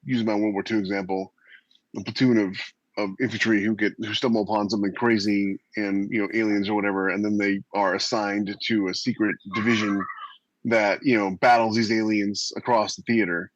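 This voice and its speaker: A man's voice: fairly low pitch, level tone, brisk, no echo. A man co-hosting a podcast in casual chat, circling back over his phrases, on a muffled mic. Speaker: podcast co-host. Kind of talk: casual panel chat about writing fiction. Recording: dull lo-fi mic with faint noise.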